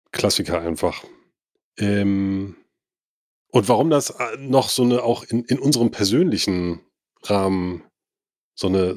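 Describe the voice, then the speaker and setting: A man's voice: low-pitched, no room sound, studio quality. A man co-hosting a comic podcast, a bit stuffed up with a cold, chatting and joking loosely. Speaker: podcast co-host. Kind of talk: casual chat.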